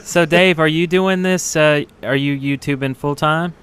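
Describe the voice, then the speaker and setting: A man's voice: on the high side, fairly even intonation, moderate pace, fairly bright tone, smooth, dry recording. A man co-hosting a podcast, chatting casually and dropping short approving remarks. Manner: short affirming interjections. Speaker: podcast co-host. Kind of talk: casual chat.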